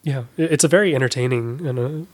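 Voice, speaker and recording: A man's voice: mid-range voice, fast talker. A man speaking as a guest in a casual discussion of films and books. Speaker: podcast guest.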